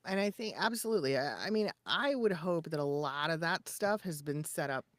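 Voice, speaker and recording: A woman's voice: sits low, lively intonation, normal speed, no room sound. A woman giving casual, conversational advice as a podcast co-host. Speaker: podcast co-host and voice-over coach.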